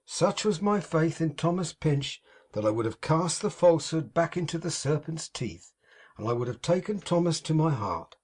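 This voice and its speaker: A man voicing a pompous, sanctimonious middle-aged gentleman in an audiobook, with a slightly husky, raised voice and grand theatrical phrasing. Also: on the high side, very animated, moderate pace, voice pushed up. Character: pompous hypocritical middle-aged male architect.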